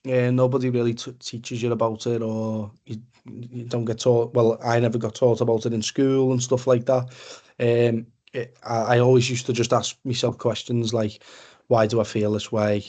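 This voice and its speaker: A man's voice: mid-range voice, level tone, moderate pace, mellow. A young man with a Liverpool Scouse accent giving an informal, plain-spoken personal talk in a conversational way. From Liverpool area, England. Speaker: men's mental health support group speaker. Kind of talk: informal personal testimony presentation. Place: online webinar video call.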